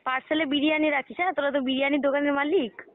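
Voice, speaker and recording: High-pitched blunt woman on the phone. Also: steady tone, very fast. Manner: blunt, scolding, slangy. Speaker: prank call target. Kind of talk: casual phone chat. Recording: narrow noisy phone line.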